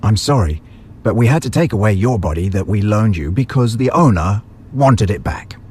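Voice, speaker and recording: A man's voice: fairly low pitch, lively intonation, moderate pace, darkish tone, breathy edge. A man playing a maniacal butcher villain in an audio drama, theatrical and condescending in his delivery. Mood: dismissive. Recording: music under the voice.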